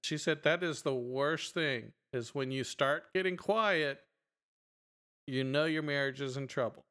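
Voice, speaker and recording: A man's voice: on the high side, unhurried, clean tone. A middle-aged man with a strong voice, co-hosting a podcast and chatting casually with lots of fillers. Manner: animated storytelling, lots of fillers. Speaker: podcast co-host. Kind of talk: casual chat.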